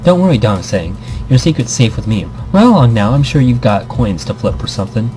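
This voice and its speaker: A man voicing a sharp-tongued female pro gamer mentor in a visual novel, blunt, sarcastic and critical, with music behind. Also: medium pitch, animated, fairly quick, close to an everyday voice. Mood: mocking.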